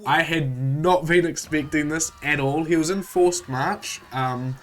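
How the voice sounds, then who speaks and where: A man with a stuffy voice from a cold, hosting a casual, relaxed recap of a strategy game with frequent fillers. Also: fairly high pitch, unhurried, a little hiss or hum. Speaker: gaming channel host.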